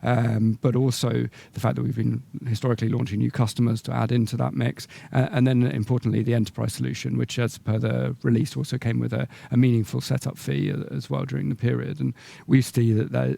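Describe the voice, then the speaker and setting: A male executive with a very creaky, gravelly voice and a mellow tone answers questions on an earnings call. He speaks in measured corporate phrases, often pausing and repeating words as he builds his answer. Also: medium pitch, little pitch movement, dry recording.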